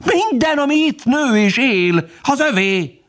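A man voicing a fierce, fanatical hunting dog in a storybook, shouting emphatically with indignant devotion. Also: extremely high pitch, very animated, pitched above the performer's own voice, heavily acted. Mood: zealous indignation.

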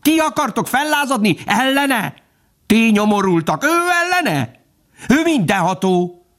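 A man voicing a fierce, fanatical hunting dog in a storybook, shouting emphatically with indignant devotion. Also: sits high, sing-song, voice pushed up. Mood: zealous indignation.

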